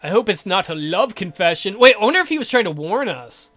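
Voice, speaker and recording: A man's voice: sits high, big pitch swings, brisk, a bit bright, soft background music. A man doing playful game commentary, jokey and irreverent as he reads dialogue aloud. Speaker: let's play commentator.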